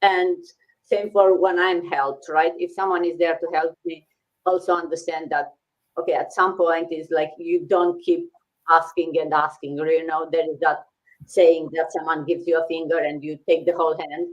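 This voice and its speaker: A woman's voice: deep voice, flat delivery, moderate pace, muffled recording. A woman hosting a casual business talk, encouraging and thinking aloud with frequent fillers and "right?" checks. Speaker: host.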